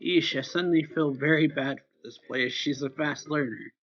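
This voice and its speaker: A man's voice: on the high side, moderate pace, a bit bright, dull audio. A man reading a visual novel aloud in a casual, informal way, narrating and voicing the lines as he goes. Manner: informal, stumbles and corrects misreadings.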